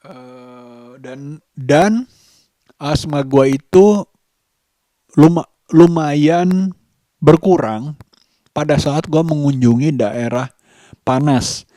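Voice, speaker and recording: Man, medium pitch, unhurried pace, dry close sound, casual vlog monologue, reflective, pausing to find words. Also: dark tone, dry recording. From Sukabumi, West Java, Indonesia. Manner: halting, reflective, searching for words with long pauses. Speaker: vlogger, host of his own daily vlog.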